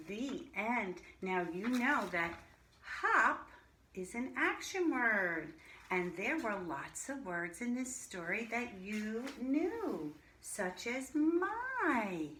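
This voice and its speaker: A woman teaching a reading lesson to young children, pronouncing single words deliberately and speaking to the children directly. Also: mid-range voice, sing-song, pushed and bright. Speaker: primary school reading teacher. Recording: roomy echo, faint background noise.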